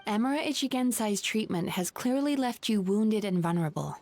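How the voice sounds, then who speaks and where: A woman's voice: mid-range voice, lively intonation, a little fast, a little mellow. A woman voicing a caring, composed young female therapist in an anime game, articulate and polite but firm. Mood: thoughtful. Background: faint background music.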